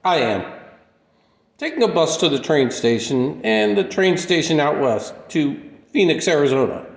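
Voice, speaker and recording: An actor playing a gentle, humble, lonely drifter in a radio play, speaking with polite, mild sincerity. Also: male voice, on the high side, expressive, moderate pace, vocal fry. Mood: content, friendly. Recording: echoey, reverberant room.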